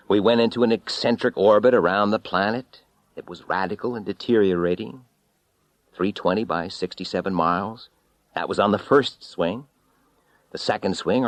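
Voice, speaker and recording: Deep-voiced man reading a dramatic story. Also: no echo. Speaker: audiobook reader. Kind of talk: dramatic first-person story narration.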